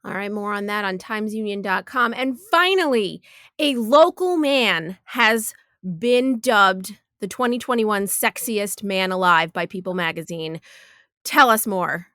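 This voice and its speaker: A woman's voice: medium pitch, expressive, unhurried, fairly bright tone, no echo, studio quality. A woman hosting a news podcast, introducing stories and prompting her colleague for details.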